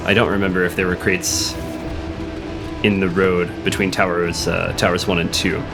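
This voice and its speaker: A man with a cold, hoarse and a little sniffly, chatting casually while playing a video game, thinking aloud and reading on-screen text. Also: low-pitched, level tone, normal speed. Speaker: let's play host. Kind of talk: casual gameplay commentary. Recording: game music playing behind the voice.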